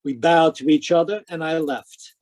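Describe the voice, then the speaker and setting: A man's voice: a little high, moderate pace, darkish tone, no echo, natural voice. A man acting a wry, persistent hard-boiled private detective in an old-time radio mystery, telling it matter-of-factly. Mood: wry narrating. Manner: wry, matter-of-fact storytelling. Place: close dry sound, remote live performance.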